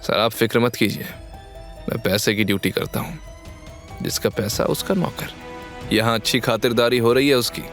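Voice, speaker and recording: A man's voice: medium pitch, moderate pace, pauses often, a bit bright, soft background music, close to an everyday voice. A man playing a corrupt, money-minded police inspector in an audio drama, oily and self-satisfied. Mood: smug. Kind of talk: Hindi audio drama acting.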